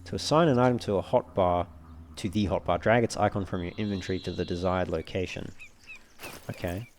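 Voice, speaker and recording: Male let's player, low-pitched, talking casually through a game and reasoning aloud as he goes. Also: fairly quick, darkish tone, slight background noise. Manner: thinks aloud while reading menus. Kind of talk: casual gameplay walkthrough commentary.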